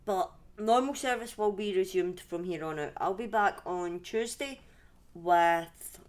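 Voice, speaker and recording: A woman's voice: medium pitch, measured pace. A woman with a Scottish accent hosting a livestream in a casual, rambling way, often breaking off and restarting her sentences. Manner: rambling, self-interrupting, conversational. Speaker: livestream host and storyteller. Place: indoors at home.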